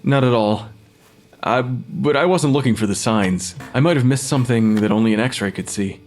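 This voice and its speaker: An actor playing a dry, matter-of-fact forensic pathologist who questions the dead, in a naturalistic audio drama. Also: male voice, medium pitch, moderate pace, darkish tone, faint music behind, close to an everyday voice. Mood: concerned, probing.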